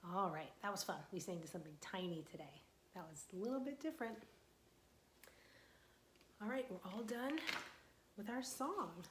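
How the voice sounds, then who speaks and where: A young woman librarian leading a storytime for young children, asking questions in a sing-song voice and dropping to soft whispers for suspense. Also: medium pitch, moderate pace, long pauses, slight background noise.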